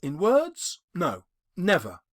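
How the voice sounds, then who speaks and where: A man voicing a gentle, sorrowful but resolute young woman in an audiobook of a classic novel. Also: medium pitch, huge pitch swings, slow, exaggerated voice. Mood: sorrowful, firm.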